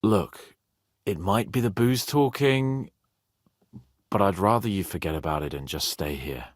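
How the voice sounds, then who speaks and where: A man voicing a spirited young nobleman in a video game, with an expressive, irreverent, bossy but loyal manner. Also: low-pitched, a little slow, dry recording, studio quality. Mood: grave, subdued. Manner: expressive, irreverent, swearing freely. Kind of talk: video game character voice acting.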